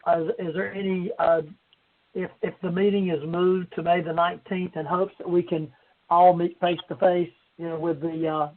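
High-voiced man on a thin phone line. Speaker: town councilman. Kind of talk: formal meeting questions. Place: conference call. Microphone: telephone.

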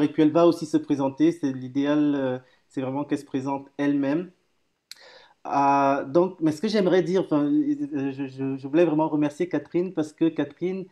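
A man's voice: a little high, darkish tone. A man in his thirties hosting a live video call, cheerful and hesitant, stumbling over repeated words and fillers. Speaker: host. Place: home office room.

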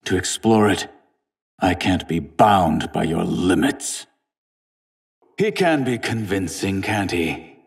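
An actor playing a proud, ambitious sorcerer warrior in a fighting video game, haughty and theatrical, with a husky voice. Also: male voice, sits low, animated, slow, pauses often, no echo, studio quality, exaggerated voice. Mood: cool, assured. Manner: haughty, imperious, theatrical delivery. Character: proud, ambitious male sorcerer warrior who commands water.

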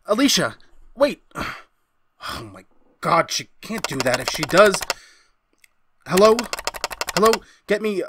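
A woman's voice: mid-range voice, lively intonation, takes it slowly, pauses often, noisy background. A woman playing a nervous, henpecked husband in a retro radio drama, confiding hesitantly and getting flustered. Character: nervous, henpecked husband, a university history teacher. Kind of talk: retro 1940s radio drama, first-person narration.

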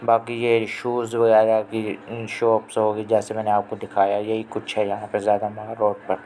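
A man explaining the shops of a street market in a relaxed, casual way. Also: lowish voice, normal speed. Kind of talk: casual guide talk. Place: busy street market outdoors at night. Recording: busy crowd noise behind.

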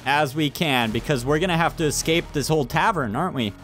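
A man hosting a gaming video, chatting excitedly with friends and urgently directing them. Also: fairly high pitch, fast, faint music behind. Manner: animated, urgent, directing the others. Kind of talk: excited casual gameplay banter with friends.

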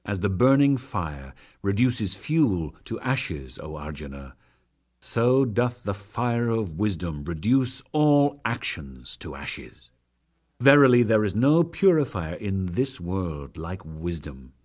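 A man narrates sacred verse as a dramatic reading, solemn and measured, with deliberate phrasing and frequent pauses. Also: low-pitched, big pitch swings, darkish tone.

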